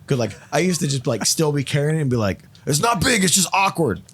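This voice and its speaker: Animated, swearing man in his thirties. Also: a little high, quick pace, crowd noise. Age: 30s. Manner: profane, animated storytelling. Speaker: podcast host. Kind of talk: casual chat.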